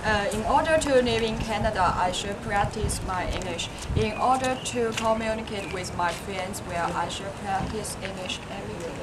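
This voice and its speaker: A woman's voice: mid-range voice, moderate pace, hardly any pauses. Hesitant woman student, distant and noisy. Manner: hesitant, with frequent fillers. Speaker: English language student. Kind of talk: scripted personal statement. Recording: distant mic, loud background noise.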